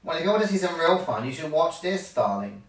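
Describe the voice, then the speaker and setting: Mean female wasp for a storybook, gleeful bully, very animated delivery, voiced by a man. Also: on the high side, brisk, reverberant, recorded from a distance, poor audio. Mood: gloating. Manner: mocking, gloating.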